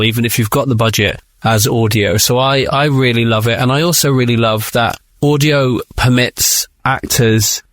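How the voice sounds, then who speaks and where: A man's voice: medium pitch, dry recording. A man, a writer being interviewed, talking in a thoughtful, enthusiastic, conversational way.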